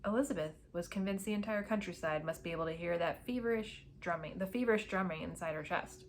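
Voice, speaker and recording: A woman's voice: lowish voice, fast talker, a little hiss or hum. Woman reading a romance novel aloud, expressively. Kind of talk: expressive storybook read-aloud.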